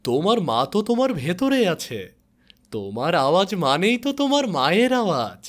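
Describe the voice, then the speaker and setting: Kindly woodcutter father for an animated fable, played by a man: fairly high, very expressive, tender. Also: normal speed. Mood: tender, coaxing. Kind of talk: animated moral story character acting.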